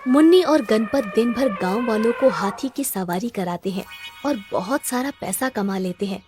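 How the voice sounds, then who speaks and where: High-voiced woman narrating children's stories. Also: animated, normal speed, a little mellow, soft background music. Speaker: storyteller. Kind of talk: scripted children's story narration.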